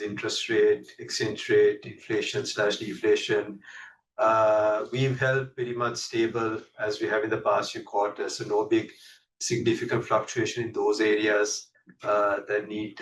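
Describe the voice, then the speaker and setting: A man's voice: on the low side, fairly bright tone. A man giving a matter-of-fact business briefing as a company executive on an earnings call. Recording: distant mic with faint background noise.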